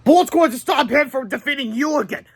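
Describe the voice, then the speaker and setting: A man voicing an excitable, nervous, grumbling grown man with a whiny, blustering manner. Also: very high-pitched, fast talker, creaky, voice pushed up. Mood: doubtful.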